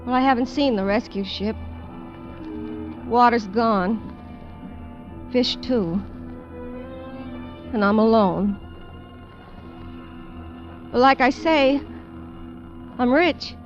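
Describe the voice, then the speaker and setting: A woman's voice: on the high side, very slow, long pauses. An American actress playing a desperate, greedy woman stranded at sea, confessing plainly in 1950s radio drama style. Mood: weary, forlorn. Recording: dull vintage radio, music underneath.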